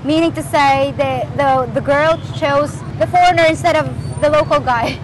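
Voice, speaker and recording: A woman's voice: high-pitched, normal speed, crowd noise, noisy background. A woman with a Filipino accent interviewing people on the street, asking teasing questions with a playful laugh. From Philippines.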